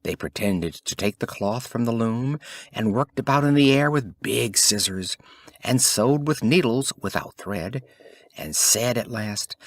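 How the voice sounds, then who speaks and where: A man reading a scripted fairy tale as an audiobook narrator, in an expressive, theatrical storytelling manner. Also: lowish voice, dry recording.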